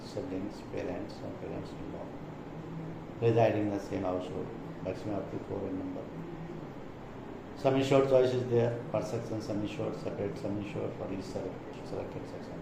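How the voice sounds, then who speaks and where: Male trainer, Indian accent, didactic explanatory delivery, captured in a reverberant room with clear noise. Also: fairly low pitch. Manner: didactic, reading points then explaining them. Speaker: insurance trainer. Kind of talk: explanatory product presentation.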